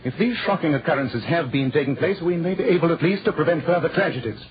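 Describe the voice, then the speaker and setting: An actor playing a brisk, commanding British gentleman detective, clipped and authoritative, in 1940s radio style. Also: a little high, animated, close to an everyday voice. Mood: grave, decisive. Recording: muffled old radio recording, background murmur.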